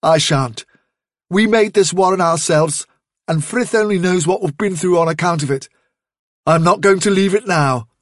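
Man voicing a high-pitched, commanding British rabbit chief. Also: animated, slightly rough, dry recording, clean studio sound. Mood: firm, defiant. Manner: brisk, urgent, firm. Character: decisive male rabbit chief, stubborn, commanding leader.

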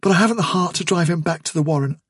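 A man's voice: fairly high pitch, racing, husky, no room sound, clean studio sound, pitched above the performer's own voice. A man voicing an earnest, protective male rabbit leader in a storybook, speaking in an urgent, conciliatory way. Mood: earnest pleading. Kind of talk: storybook character dialogue.

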